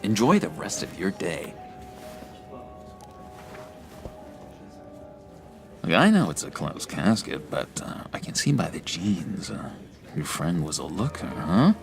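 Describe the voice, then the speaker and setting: A male actor voicing an unstable, needy villain in a video game, halting and theatrical, with a creaky voice that turns breathy when hushed. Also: low voice, sing-song, faint music behind, full character voice. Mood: eager, chummy. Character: unstable, clingy, awkward young male villain with manic laughter.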